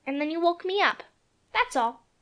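An eager, chatty little girl, as a man puts her on in a storybook reading. The voice leaps very high above his own and bounces lively up and down as she rattles on breathlessly. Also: normal speed, heavily acted. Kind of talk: audiobook character voice.

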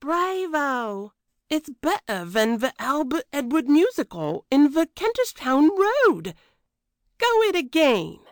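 A woman voicing a stubborn working-class London cook in a storybook, with emphatic, drawn-out colloquial speech. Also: on the high side, sing-song, fairly bright tone, smooth, no room sound, studio quality, full character voice. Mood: enraptured. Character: stubborn, delighted working-class female household cook made queen.

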